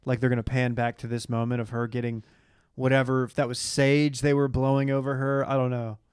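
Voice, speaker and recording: Male co-host, medium-pitched voice, dry close sound, casual joking podcast banter. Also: level tone, brisk, darkish tone, smooth, dry recording.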